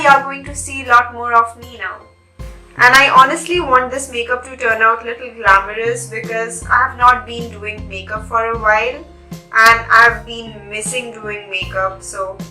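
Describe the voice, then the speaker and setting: A woman's voice: fairly high pitch, sharp tone. A young woman with a cold and a nasal voice, chatting to viewers while doing a makeup tutorial and apologising for her absence. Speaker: beauty vlogger. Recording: roomy echo, faint music behind.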